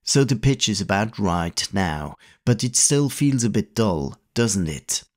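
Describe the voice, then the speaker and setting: A man hosting a retro computing channel, giving a calm, methodical tutorial voice-over. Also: fairly low pitch, unhurried, over music. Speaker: retro computing video host.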